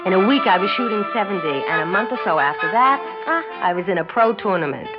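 A woman's voice: medium pitch, animated, fast, natural voice. An actress playing a cocky but naive young American woman athlete in a 1960s radio drama, speaking in a blunt, informal way. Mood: matter-of-fact narration. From United States. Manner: blunt, informal, matter-of-fact. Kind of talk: 1960s radio acting, first-person narration and dialogue. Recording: muffled 1960s radio recording with music.

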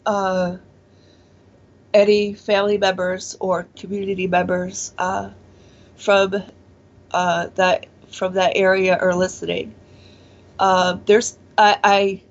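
Forties woman guest, slow and halting, lots of restarts, muffled lo-fi sound. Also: mid-range voice. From born in Taiwan, raised in Pennsylvania. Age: 40s. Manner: hesitant, many fillers and restarts. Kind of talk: casual interview, personal testimony. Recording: dull lo-fi audio.

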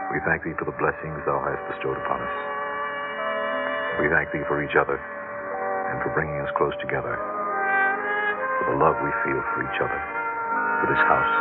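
An actor playing a genial, wry middle-aged American father, expressive and a little theatrical, in a 1960s radio drama. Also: extremely low pitch. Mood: reverent. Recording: dull vintage 1960s radio recording.

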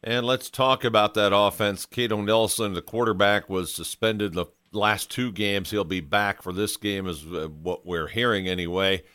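Male sports host, lowish voice, dry close sound, conversational interviewer. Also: steady tone, moderate pace, few pauses, pushed and bright, smooth, no echo.

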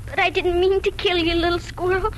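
A woman's voice: very high-pitched, little pitch movement, fairly quick. A young female performer playing a tender-hearted, plaintive little farm girl in a 1940s radio drama. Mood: plaintive, guilty. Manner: earnest, plaintive. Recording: noisy 1940s radio recording.

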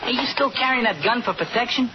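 A man's voice: very high voice, quick pace. A man playing a chatty, wisecracking band sidekick full of slang in a 1950s radio drama. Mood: curious, wry. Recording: muffled, noisy old radio recording.

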